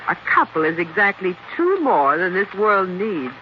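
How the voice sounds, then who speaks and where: A woman's voice: fairly low pitch, very animated, a little slow. An actress playing a plucky, wry woman companion to a crime-fighter in a 1940s radio drama. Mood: wry, relieved. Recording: muffled, hissy 1940s radio recording.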